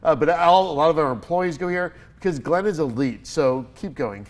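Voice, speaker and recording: Congested, excitable male podcast host. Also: on the high side, moderate pace. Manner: energetic storyteller, animated, laughing while telling stories. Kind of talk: casual interview chat. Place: studio.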